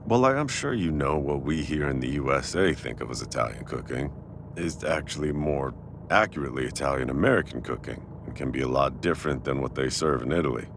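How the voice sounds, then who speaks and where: A man playing a warm, teasing restaurant owner with a secret crush in a casual, intimate boyfriend audio roleplay. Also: extremely low pitch, expressive, a little fast, vocal fry, noisy background, full character voice. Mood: earnest, relaxed.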